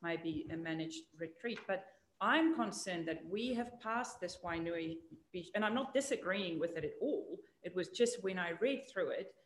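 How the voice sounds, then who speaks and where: A woman's voice: fairly low pitch, expressive, moderate pace, few pauses, reverberant, poor audio. A woman with a New Zealand accent, a councillor raising concerns in a meeting, forthright.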